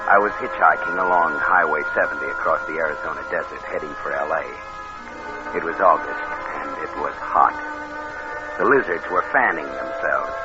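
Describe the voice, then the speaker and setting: A man's voice: rumbling low, normal speed. A dull vintage radio recording with music behind it. a scheming young drifter, wry and slangy, telling his story like a hard-boiled crook in an old suspense drama. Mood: sly, wry. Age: 20s. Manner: wisecracking, slangy. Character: scheming cynical young drifter narrating his own crime. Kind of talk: 1940s radio acting, hard-boiled narration.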